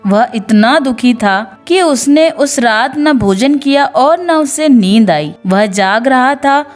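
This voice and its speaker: A woman's voice: high voice, expressive, slow. A woman narrating scripted Bible stories in an earnest devotional storytelling style. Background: background music.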